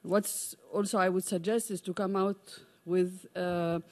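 A middle-aged woman from Egypt speaking from the floor at a conference, with many pauses, fillers and restarts. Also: on the low side, steady tone, a little mellow. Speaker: audience member, parent advocate. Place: large conference room. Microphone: desk microphone.

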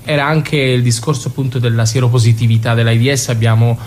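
A man explaining things as a guest in a radio interview, haltingly searching for his words. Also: mid-range voice, flat delivery, a little mellow, slight background noise. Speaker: theatre company member, interview guest.

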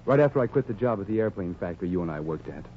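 Plain-spoken lovelorn husband, american, plaintive, 1940s radio comedy, dull hissy recording. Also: male voice, low voice, lively intonation, very fast. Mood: sheepish, earnest. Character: lovelorn, earnest working-class husband, an old pal.

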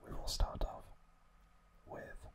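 A man narrates ASMR in a breathy whisper, right up at the microphone. His pace is very slow, with hushed, drawn-out phrases delivered as a host addressing listeners.

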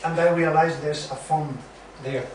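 A man playing a wry young joker in an amateur sitcom reading, with deadpan delivery. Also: fairly high pitch, measured pace, natural voice. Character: wry, joking young male friend, Chandler. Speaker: student in an amateur dramatized reading. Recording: distant, very echoey room, faint noise.

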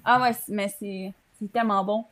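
A woman's voice: medium pitch, fairly even intonation, normal speed, a bit bright. A woman in her twenties with a Québécois French accent, chatting casually on a video call from a room at home. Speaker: talk show panelist. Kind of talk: casual chat. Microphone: computer mic on a video call.

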